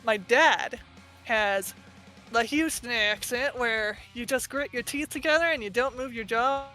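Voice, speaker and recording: A woman from Texas with a Michigan accent, chatting excitably as a streamer host. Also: a little high, measured pace, faint music behind. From Texas, United States. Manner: excitable, bursts into loud protests. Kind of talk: casual stream chat.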